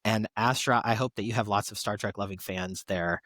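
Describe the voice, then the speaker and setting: Chatty man giving podcast shout-outs, dry sound. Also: on the low side, steady tone, fairly quick, no echo. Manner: chatty, appreciative asides. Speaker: podcast co-host. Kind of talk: reading listener shout-outs, casual chat.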